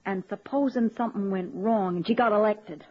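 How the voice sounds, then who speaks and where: An actress playing a dim, deadpan, folksy female campaign secretary in a radio comedy. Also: mid-range voice, a little fast, husky, lightly acted. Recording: dull lo-fi old radio recording.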